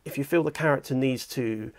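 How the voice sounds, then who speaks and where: Creaky-voiced British man interviewing. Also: medium pitch, a little fast. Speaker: interviewer, audiobook producer. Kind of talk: casual one-on-one chat. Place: small furnished office, indoors.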